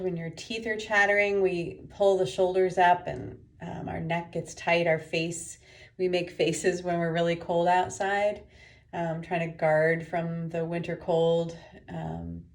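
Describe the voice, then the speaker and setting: Woman, slightly low pitch, guided meditation, gentle instruction, unhurried pauses. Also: flat delivery. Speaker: meditation teacher.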